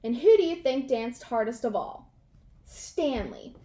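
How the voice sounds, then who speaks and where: High-voiced woman reading a children's story. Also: big pitch swings, unhurried, dark tone. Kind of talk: animated children's read-aloud narration.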